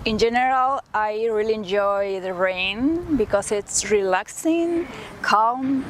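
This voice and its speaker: A woman with a Peruvian Spanish accent speaks in quick phrases, with the occasional restart. Her voice is mid-pitched. A clear layer of background noise runs under her speech. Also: low-quality recording. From Peru. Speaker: interviewee. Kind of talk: reflective personal account.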